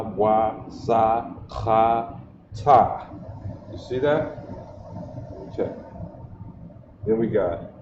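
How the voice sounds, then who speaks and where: A man speaks at a slow, measured pace as he drills letter sounds and keeps checking "you see that?" His voice sits in the middle range, and the dull livestream audio carries a lot of echo and steady background noise. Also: medium pitch. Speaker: teacher.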